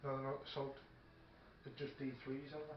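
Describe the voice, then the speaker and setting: A man chatting casually at a game table, talking through rules haltingly and thinking aloud. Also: medium pitch, monotone. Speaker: tabletop wargame player. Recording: muffled, echoey room with faint noise.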